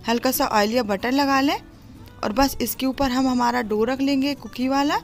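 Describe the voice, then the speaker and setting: High-pitched woman giving cooking instructions. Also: steady tone, moderate pace. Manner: instructive, explanatory. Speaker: home cooking host. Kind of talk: recipe tutorial voice-over, step-by-step instruction. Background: music.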